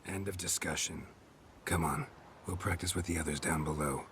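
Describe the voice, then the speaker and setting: An actor playing a gruff male witcher training a young girl, terse and deadpan, with faint game ambience. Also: low-pitched, normal speed, slight background noise. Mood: dry. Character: gruff male witcher, dry and teasing mentor.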